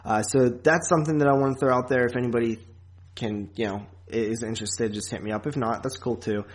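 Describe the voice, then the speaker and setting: A man hosting a podcast in a casual, rambling, halting chat style with frequent asides. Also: mid-range voice, steady tone. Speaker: podcast host.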